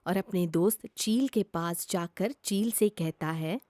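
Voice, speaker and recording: A woman's voice: on the low side, a little slow, darkish tone, dry recording, studio quality. A woman narrating a scripted children's story as a storyteller.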